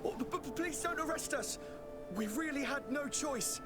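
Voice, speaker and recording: A man's voice: very high-pitched, animated, normal speed. A man playing a desperate, starving petty thief in a video game, pleading and agitated. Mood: frightened, pleading. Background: game music.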